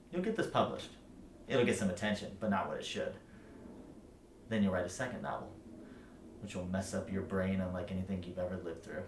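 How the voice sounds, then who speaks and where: A man acting a low-voiced, bitter writing teacher. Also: lightly acted. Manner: scornful, emphatic, profane, deliberate. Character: bitter, brutally frank male writing teacher lecturing a student. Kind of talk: theatrical stage monologue. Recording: roomy echo, light background noise.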